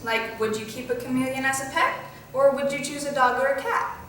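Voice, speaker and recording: A cheerful young storybook princess played by a woman speaks in a high voice with friendly, simple explanations for children. She sounds distant from the mic in a very echoey room, with music behind her. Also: fairly even intonation, brisk, fairly bright tone, a little hiss or hum. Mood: friendly, upbeat. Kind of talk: scripted children's educational presentation in character.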